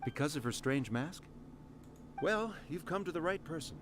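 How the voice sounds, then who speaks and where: A man's voice: fairly high pitch, animated, moderate pace, over loud music, lightly acted. A man playing an earnest, confiding islander telling his story in a video game. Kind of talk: video game dialogue acting. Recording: noisy game stream capture.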